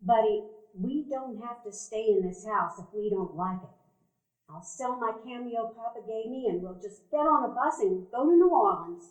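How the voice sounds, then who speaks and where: A soft-hearted older woman, acted by a woman in a live radio play speaks in a gentle, fretful, pleading way. Her voice sits fairly high and swings widely. The sound is roomy, as in an event hall. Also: expressive, normal speed, natural voice. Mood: coaxing. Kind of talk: community theatre radio play acting.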